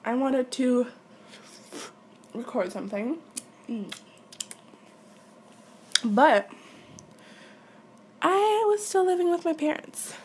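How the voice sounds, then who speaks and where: A woman's voice: high-pitched, animated, slow, pauses often, a little hiss or hum. A young adult woman from Quebec whose first language is French, chatting casually and hesitantly in a candid, giggly, rambling way. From Quebec, Canada. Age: late teens, young adult. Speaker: audio creator answering listener questions.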